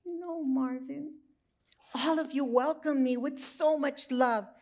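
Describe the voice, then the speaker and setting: A woman's voice: high-pitched, steady tone, slow pace, a little mellow, voice pushed up. A woman voicing a mother duck from a children's storybook, with quacking, plain-spoken lines. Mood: sheepish. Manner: quacking, complaining. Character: grumpy mother duck, protective of her home.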